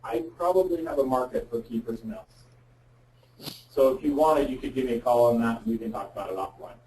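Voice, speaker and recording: A man's voice: mid-range voice, brisk, a little mellow. Plain-spoken man, roomy distant sound. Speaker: guest speaker, insurance representative. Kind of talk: candid professional Q&A on a web conference. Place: web conference call. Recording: roomy, distant mic with faint noise.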